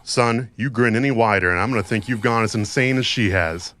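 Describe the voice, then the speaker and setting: A tough, sardonic mercenary mentor, played by a man in a cyberpunk audio drama. His voice is forceful and sharp at a middling pitch, with bitter, wry goading in the delivery. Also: a little fast, natural voice. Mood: sarcastic.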